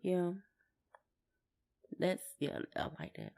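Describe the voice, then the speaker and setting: A woman's voice: lowish voice, wildly swooping pitch, slow pace, vocal fry, mellow. An American woman co-hosting a podcast, talking casually and colloquially, repeating words for emphasis. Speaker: podcast co-host.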